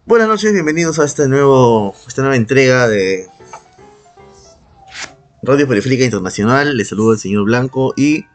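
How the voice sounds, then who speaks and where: A man's voice: medium pitch, animated, moderate pace, soft background music. A man hosting a podcast in casual, humorous chat, with an irreverent, profane way of talking. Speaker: podcast host.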